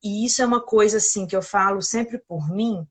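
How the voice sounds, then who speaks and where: A woman hosting a live interview, introducing her guest warmly and asking encouraging questions. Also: medium pitch, a little fast. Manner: enthusiastic, encouraging, laughs often. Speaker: host.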